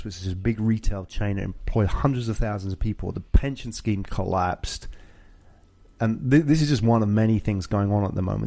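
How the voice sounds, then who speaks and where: A man's voice: on the low side, darkish tone. British man explaining in conversational style. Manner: explanatory, punctuating points with check questions. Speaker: show host. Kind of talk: conversational discussion of personal finance.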